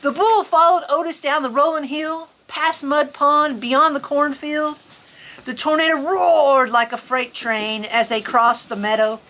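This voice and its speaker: A woman reading a picture book aloud to children in an expressive, folksy, chatty storytime manner. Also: high voice, measured pace. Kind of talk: animated children's story reading with casual asides. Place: on a farm among sheep.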